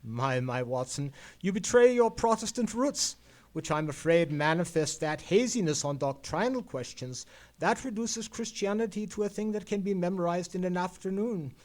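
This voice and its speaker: A man's voice: sits high, expressive, moderate pace. An actor playing an erudite, world-weary male detective in an old-time radio play, with a pedantic and eloquent manner. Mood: lofty, amused. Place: live performance in a theatre.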